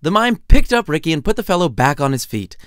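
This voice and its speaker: A man's voice: on the high side, sing-song, quick pace, a bit bright, clean tone, no room sound, studio quality. A man reading his own story as a wry, theatrical narrator. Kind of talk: lively scripted storytelling narration.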